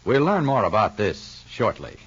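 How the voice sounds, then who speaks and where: A male announcer from a vintage radio serial who narrates and pitches products with strong emphasis. His delivery rises and falls dramatically, with a husky edge to it. The sound is muffled and lo-fi. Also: sing-song, moderate pace. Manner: emphatic, salesman-like.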